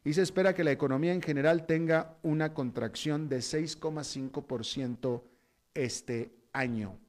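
A man's voice: a little high, normal speed, darkish tone. A man from Mexico, hosting a news program and mixing reports with conversational, opinionated commentary full of fillers. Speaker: news host. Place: Costa Rica.

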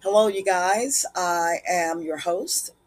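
A woman hosting a podcast in a slow, deliberate manner, her voice scratchy today. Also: on the low side. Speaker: podcast host. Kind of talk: podcast monologue.

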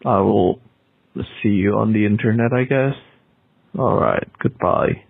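Halting man with Indonesian first language. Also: fairly low pitch, creaky, slightly rough. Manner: halting, many restarts and fillers. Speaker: caller leaving a voicemail. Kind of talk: rambling voicemail message. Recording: tinny phone line.

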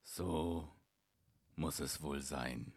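A mournful, lovelorn beast, put on by a man reading a fairy tale, rumbling very low and slow. Every line drags with heavy sorrow, and the dry, close sound keeps him right at the ear. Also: creaky, no room sound, heavily acted. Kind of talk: storybook reading.

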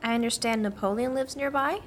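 Woman as a polite, higher-voiced lady reporter. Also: brisk, close to an everyday voice. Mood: obliging. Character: polite, curious small-town-raised newspaper reporter woman. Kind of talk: radio play acting.

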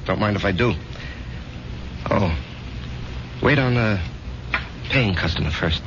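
Troubled male ex-soldier, vintage radio drama: brooding, natural delivery, lowish pitch, lo-fi sound. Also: expressive, slow pace, background noise. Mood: brooding, casual. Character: troubled, haunted male army veteran, bar regular. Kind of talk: old-time radio drama acting. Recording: dull old radio recording with music.

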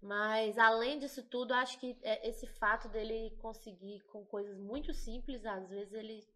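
Chatty Brazilian woman songwriter, slightly high. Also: steady tone, moderate pace, pushed and bright. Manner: chatty, searching for words. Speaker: songwriter, band member. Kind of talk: casual podcast interview.

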